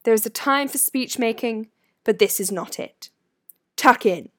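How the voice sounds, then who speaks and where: A woman's voice: fairly high pitch, unhurried, dry recording, clean studio sound, lightly acted. A woman voicing a grand, genial old wizard headmaster in a storybook, with ringing, ceremonious delivery. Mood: beaming, ceremonious.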